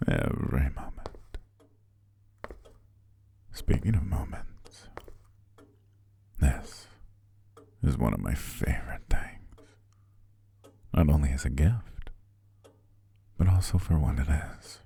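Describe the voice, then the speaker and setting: Man playing a deep whispering, devious hypnotist. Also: mellow, exaggerated voice. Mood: gently reassuring, then fond, proud. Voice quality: whispery, breathy, very low and gravelly. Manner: genteel, unhurried, deliberate with pauses. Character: devious, courteous male hypnotist welcoming a client. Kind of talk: ASMR roleplay, slow whispered soothing monologue.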